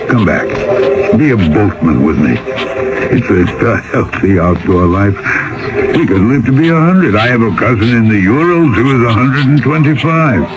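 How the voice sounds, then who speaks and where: A man's voice: medium pitch, lively intonation, moderate pace, natural voice. An actor playing a plain-spoken, philosophical Russian boatman in an old-time radio drama, with emphatic turns of speech. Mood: urging. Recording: dull old radio recording, music behind.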